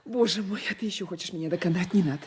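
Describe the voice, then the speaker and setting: A woman's voice: lowish voice, very fast, a little hiss or hum, close to an everyday voice. An actress playing a fearful, lovesick young married woman in a radio drama, hesitant and often whispering. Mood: anguished. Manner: hesitant, trembling, repeating words. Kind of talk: 1980s radio drama acting.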